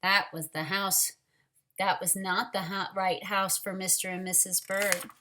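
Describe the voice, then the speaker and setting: A woman teacher leading a read-aloud storytime for young children, speaking deliberately and directly to them. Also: medium pitch, steady tone, background noise.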